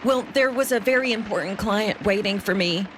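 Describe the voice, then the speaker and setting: A woman voicing a frightened witness in a mystery game, haltingly recounting something dreadful in a dramatic way. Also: medium pitch, fairly bright tone, a little hiss or hum, lightly acted. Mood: shaken recollection. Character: shaken, frightened female witness recounting a horror. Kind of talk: video game voice acting.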